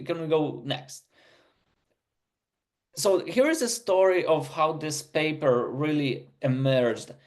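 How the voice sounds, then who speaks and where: A middle-aged man, English his third language, speaking with a non-native accent and giving an earnest, story-driven webinar presentation with frequent restarts. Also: medium pitch. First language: not English; English is his third language.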